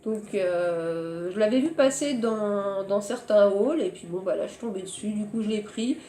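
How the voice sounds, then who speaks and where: A hoarse woman chatting casually about her shopping haul and crafts, often pausing to search for words. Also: medium pitch, dark tone, echoey room, faint music behind. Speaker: crafting vlogger.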